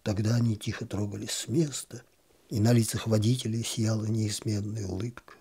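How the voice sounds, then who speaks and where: A man's voice: lowish voice, fairly even intonation, normal speed, creaky, dark tone, husky. A man narrating a literary short story as an audiobook reader.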